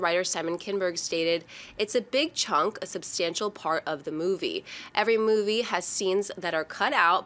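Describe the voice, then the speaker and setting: A woman's voice: mid-range voice, fairly bright tone. Woman host reading movie news. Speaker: show host. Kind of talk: scripted news read to a panel.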